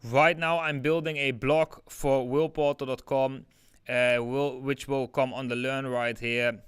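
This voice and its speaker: A young man with a Dutch accent chats loosely to his live viewers, leaning on fillers like "like" and "yeah." His voice is smooth and resonant, and it sounds dry and close to the mic. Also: medium pitch, flat delivery, a little slow, a bit bright, no room sound. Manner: chatty, many fillers like "like" and "yeah". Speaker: crypto livestream host. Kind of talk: casual live chart analysis.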